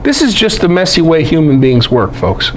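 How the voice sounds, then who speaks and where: A man teaches scripture like a lively lecturer, in a high voice that swings widely in pitch. He is emphatic and conversational, posing rhetorical questions and dropping folksy asides. Noticeable noise sits behind him. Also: normal speed. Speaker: presenter and Bible teacher. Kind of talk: animated lecture on scripture scholarship.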